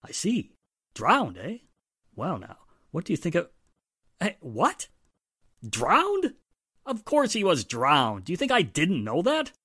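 An actor playing an exasperated, spluttering police inspector in a 1940s-style radio drama. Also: male voice, high voice, wildly swooping pitch, takes it slowly, long pauses, bright, forceful tone, slightly rough, dry recording, clean studio sound, heavily acted. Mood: incredulous. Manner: spluttering, emphatic, incredulous.

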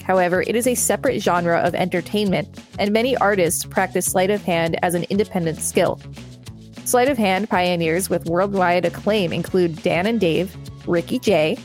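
Woman podcast host reading over music. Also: on the low side. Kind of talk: read-aloud encyclopedia text with casual commentary.

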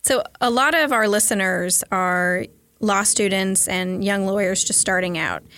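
A woman's voice: mid-range voice, measured pace, a bit bright. A woman hosting a podcast, asking questions in a light manner and laughing easily. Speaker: podcast host. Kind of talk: interviewing.

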